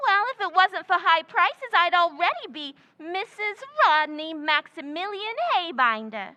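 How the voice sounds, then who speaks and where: A woman playing a giddy, shrill husband hunter. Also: animated, measured pace, bright, forceful tone, full character voice. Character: man-hungry, scatterbrained young woman Miss Duffy. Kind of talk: old-time radio comedy recreation.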